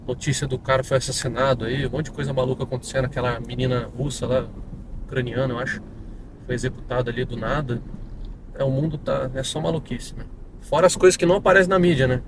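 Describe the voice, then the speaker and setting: A man's voice: mid-range voice, fairly even intonation, fast talker. Young guy w/ sao paulo countryside accent, rambles a lot, outside on a street w/ cars going by. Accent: São Paulo interior Brazilian Portuguese. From interior of São Paulo state, Brazil. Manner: rambling, many fillers and restarts. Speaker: guest telling his conversion testimony. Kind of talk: casual spoken testimony. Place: outdoors on a city street. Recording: outdoor street with passing traffic.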